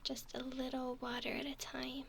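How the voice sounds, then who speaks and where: A woman playing a gentle, doting young mermaid in a whispered ASMR roleplay, cooing softly and soothingly with a breathy voice. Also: high voice, monotone, slight background noise. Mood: tender. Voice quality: soft, breathy. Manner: slow, soothing, cooing whisper and soft speech.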